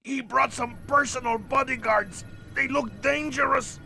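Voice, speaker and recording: An actor playing a gruff, wary South ship captain in a video game, curt and commanding. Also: male voice, very high-pitched, steady tone, a little slow, bright, forceful tone, soft background music, exaggerated voice. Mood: wary, irritated.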